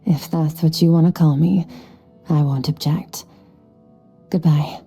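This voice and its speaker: A woman voicing an aloof, solemn, ageless swordswoman in a video game, speaking in a measured, philosophical way. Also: sits low, fairly even intonation. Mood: gentle, reserved. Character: aloof, solemn, centuries-old swordswoman who looks youthful. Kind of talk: video game cutscene voice acting. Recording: soft background music behind the voice.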